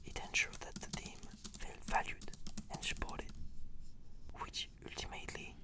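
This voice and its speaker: A woman speaks in a breathy whisper, giving answers as a client in an ASMR therapy roleplay. Her delivery is measured and earnest, as if reading from a script.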